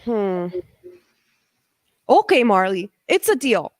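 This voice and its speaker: A woman's voice: mid-range voice, sing-song, slow pace, no echo. A woman playing a haughty female storm supervillain in an audio drama, theatrical and scornful. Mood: calculating. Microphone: video call.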